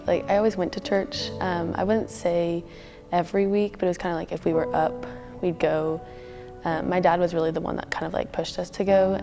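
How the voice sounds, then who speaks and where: A young woman from Texas, a college student, casually telling her faith story with many fillers. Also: on the low side. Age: young adult. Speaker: student giving testimony. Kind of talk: personal testimony.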